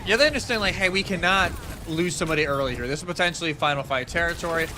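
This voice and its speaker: A man's voice: fairly high pitch, racing, noisy background. A man giving casual, analytical live commentary as an esports caster, with music faintly behind him.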